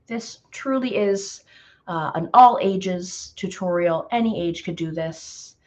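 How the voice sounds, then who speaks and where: A slightly hoarse woman hosting a live painting tutorial, chatty and greeting viewers by name with lots of ums. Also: lowish voice, slow, a little mellow. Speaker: painting instructor.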